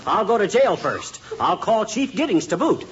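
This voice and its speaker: An actor playing an excitable, boastful husband in a 1950s radio comedy, exclaiming and blustering. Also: male voice, high voice, normal speed, crowd noise. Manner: lively, exclaiming, bluster and groans. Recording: dull old radio broadcast with studio audience.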